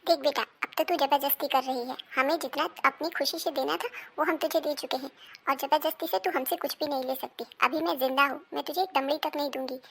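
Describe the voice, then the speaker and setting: A woman acting an emphatic, dramatic role in a Hindi animated family melodrama. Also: high voice, vocal fry. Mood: firm, scolding. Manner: emphatic, dramatic, scolding and pleading delivery. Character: women of a quarrelling Indian family: daughter, mother, daughter-in-law.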